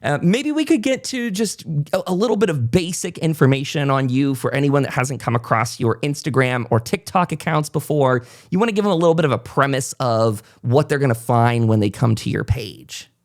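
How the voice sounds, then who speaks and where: A man's voice: medium pitch, expressive, fairly quick, few pauses. A man hosting a casual, unscripted podcast in a chatty, informal manner. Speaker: podcast host.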